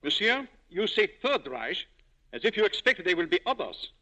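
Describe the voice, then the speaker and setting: An actor playing a stern, arrogant Nazi major, formal and imperious, in a 1940s radio drama. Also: male voice, high voice, very animated, normal speed, sharp tone, exaggerated voice. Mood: coldly threatening. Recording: dull 1940s radio recording.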